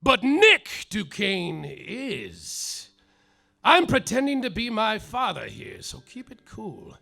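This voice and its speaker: Bright, gravelly-voiced man narrating noir comedy. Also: high-pitched, slow pace. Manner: hardboiled noir narration, deadpan, with sudden yelled outbursts. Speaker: lead performer in a live comedy play, as the detective. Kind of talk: live staged radio-noir comedy, narrating and acting. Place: live theater stage.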